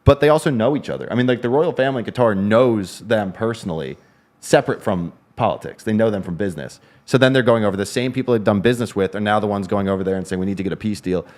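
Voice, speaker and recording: Fast-talking man in political chat. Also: on the low side, darkish tone. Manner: fast, rambling, frequent restarts. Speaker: co-host. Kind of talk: casual political discussion.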